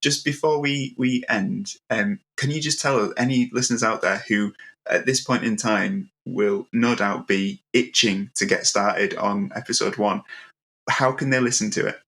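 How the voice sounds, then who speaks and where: A man's voice: fairly low pitch, unhurried, a bit bright, low-quality recording. A man hosting a casual interview in a polite, informal way. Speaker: interview host.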